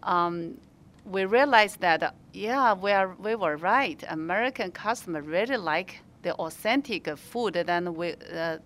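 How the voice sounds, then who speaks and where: A woman's voice: lowish voice, measured pace, fairly bright tone. A woman with a Mandarin Chinese accent, speaking English as a second language while answering interview questions as a restaurant owner, with many fillers and restarts.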